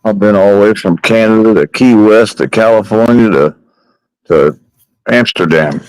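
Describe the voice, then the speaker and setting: A man with a fairly low voice shouts his asides from a little way off the microphone. The sound around him is dry, with little room echo. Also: normal speed, clean tone. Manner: loud interjecting sidekick.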